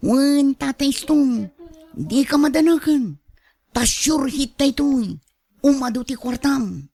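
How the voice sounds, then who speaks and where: A woman's voice: high voice, lively intonation, takes it slowly, vocal fry, mellow, full character voice. A woman playing a sly, coaxing gambling crony in a radio drama, persuasive and confident. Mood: smug, reassuring. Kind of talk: Ilocano radio drama acting.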